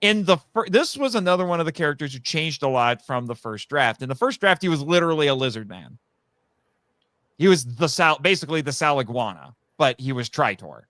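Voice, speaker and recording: A man's voice: a little high, animated, fairly quick, a bit bright, no room sound, clean studio sound. A man talking casually and eagerly as a guest on a fan podcast, explaining things at rambling length. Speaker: podcast guest, audio drama writer. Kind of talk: animated casual fan discussion.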